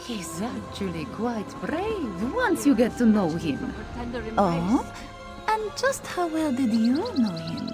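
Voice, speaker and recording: Fantasy game companion, female, acted: knowing, wry, slightly high, loud score underneath. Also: wildly swooping pitch, slow, heavily acted. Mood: teasing. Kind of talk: scripted fantasy game banter. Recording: loud music over the voice.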